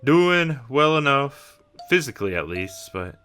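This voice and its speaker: Man as polite creature team leader, roleplay game: hesitant, courteous, crisp voice. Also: mid-range voice, very animated, a little slow, pushed and bright. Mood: flustered, courteous.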